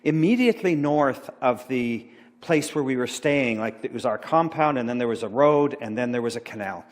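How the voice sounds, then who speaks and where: A middle-aged man giving an informal sermon, rambling and halting with many fillers and restarts. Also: mid-range voice. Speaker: pastor. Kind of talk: informal sermon talk about slides. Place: church sanctuary. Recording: long church hall reverb.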